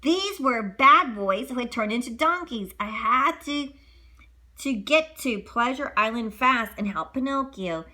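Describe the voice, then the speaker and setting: A woman narrates a children's storybook with a high, bright voice. Her delivery is very lively and dramatic, made for a read-along. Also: measured pace. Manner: dramatic, expressive. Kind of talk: animated storybook read-aloud.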